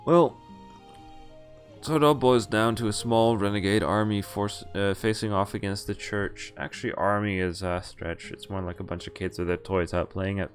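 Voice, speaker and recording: Male streamer, low voice, reads visual novel text aloud with offhand reactions; faint music bed. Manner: plain reading voice broken by quick reactions and chuckles. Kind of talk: reading game text aloud with casual commentary. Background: faint game music.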